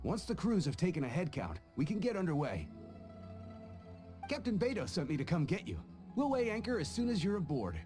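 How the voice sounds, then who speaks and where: A man's voice: high voice, huge pitch swings, brisk, dark tone. A man voicing an eager, loyal young crewman messenger in a video game, polite and upbeat. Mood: upbeat, businesslike. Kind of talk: English video game voice acting. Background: game music.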